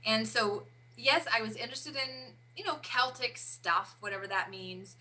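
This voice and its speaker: A woman in her forties, an interview guest, talking haltingly and often pausing to search for words. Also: fairly high pitch, sharp tone, poor audio. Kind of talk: casual interview chat.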